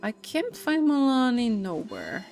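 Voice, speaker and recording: Casting: slow, giggly woman playing through a game, upbeat pitch, reading prompts aloud. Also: wildly swooping pitch, creaky, a little mellow, faint music behind. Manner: giggly, reads game text aloud, coos at her pet. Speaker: gaming video creator. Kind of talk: casual gameplay commentary.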